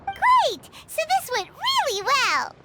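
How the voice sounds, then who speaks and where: A woman voicing a tiny, excitable fairy girl companion in a video game, squeaky and childlike, calling herself by name. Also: slow, heavy background noise, exaggerated voice. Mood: excited, chatty. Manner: refers to herself by name, exclamatory.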